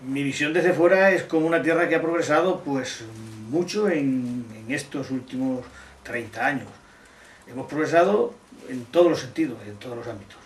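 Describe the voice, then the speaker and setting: A middle-aged or older man from Extremadura in Spain, answering interview questions deliberately, often rephrasing as he goes. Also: mid-range voice. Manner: deliberate, halting, rephrasing as they go. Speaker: interviewee, technology teacher and amateur poet. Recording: roomy, distant mic, faint noise.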